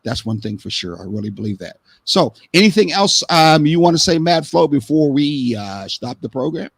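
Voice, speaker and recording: Man, host, live stream talk, earnest, rambling, fairly high voice. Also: very animated, moderate pace, husky, dry recording. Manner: earnest, rambling, repeats words.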